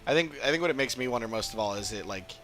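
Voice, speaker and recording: A man's voice: medium pitch, expressive, rapid-fire, fairly bright tone, soft background music. A man doing casual livestream commentary as he plays a game, musing and rambling as he thinks aloud. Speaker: game streamer.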